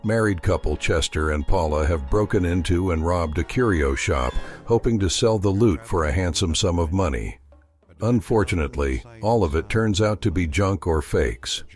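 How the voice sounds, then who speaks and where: A man's voice: low-pitched, animated, normal speed, faint music behind. A man hosting, reading a scripted introduction to a radio story. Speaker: host announcer.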